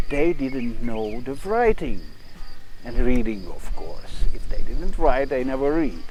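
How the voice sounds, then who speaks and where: A man with a foreign accent and non-native English, presenting a rambling, halting mock-documentary lecture. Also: medium pitch, darkish tone, a little hiss or hum. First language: not English.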